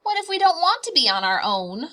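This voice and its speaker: A woman's voice: sits high, very animated, brisk, bright, forceful tone, voice pushed up, full character voice. A woman voicing curious, timid young polar bear cubs with wide-eyed, eager questioning for a storybook. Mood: anxious curiosity.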